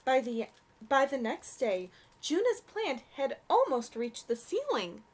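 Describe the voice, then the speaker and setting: A young adult woman reading a storybook aloud for children in an expressive, gentle way. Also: sits high, unhurried.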